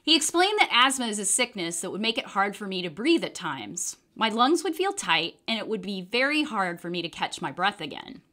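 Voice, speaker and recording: Woman, children's book reader, bright voice, very lively intonation. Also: mid-range voice, moderate pace, hardly any pauses. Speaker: storybook reader. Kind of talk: animated children's book reading.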